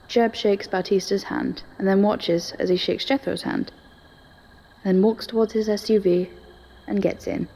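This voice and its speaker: A woman's voice: medium pitch, steady tone, a little slow, gravelly, dark tone, rough recording. A woman reading scripted story narration.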